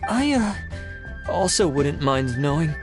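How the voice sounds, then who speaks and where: Hesitant, meek official. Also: male voice, fairly high pitch, very animated, unhurried. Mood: sheepish. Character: hesitant, mild-mannered, unambitious official. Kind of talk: anime game voice acting. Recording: game music behind the voice.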